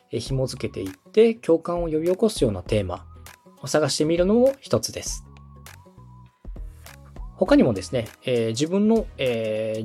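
A man's voice: mid-range voice, a little mellow. A man hosting a podcast, explaining step by step in a polite, instructive coaching style. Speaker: podcast host and radio director giving advice.

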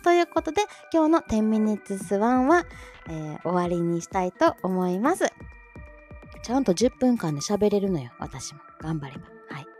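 A woman's voice: mid-range voice, very animated, normal speed. A woman hosting a casual livestream, chatting and making announcements in a bubbly, giggly manner while reacting to listeners. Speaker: host of a short audio livestream, amateur voice-drama club member. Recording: soft music bed under voice. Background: soft background music.

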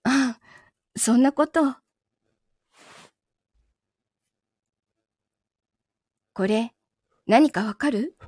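A woman playing a possessive lover in a voice drama, speaking gently and calmly with quiet menace. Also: a little high, breathy edge, no room sound, clean studio sound. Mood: gently menacing. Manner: soft, gentle, unhurried delivery without shouting. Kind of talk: Japanese voice drama acting.

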